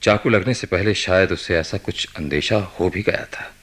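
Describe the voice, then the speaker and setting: A man acting a commanding, preoccupied senior hospital doctor in a radio drama, speaking deliberately with thoughtful hesitations in a gravelly, husky voice. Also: low-pitched, a bit bright. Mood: grave, authoritative.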